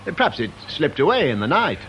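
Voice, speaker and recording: A haughty eccentric in a radio play. His slightly high voice swoops theatrically with supercilious disdain, over faint noise. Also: big pitch swings, a little fast, lo-fi sound. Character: arch, haughty, eccentric male townsman. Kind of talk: radio drama acting.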